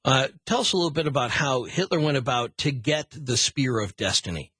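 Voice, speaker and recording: Male radio host, sharp kinda husky voice, asking and recapping stuff in broken phrases. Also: medium pitch, animated, fairly quick, no echo, studio quality. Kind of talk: radio interview, prompting and summarising.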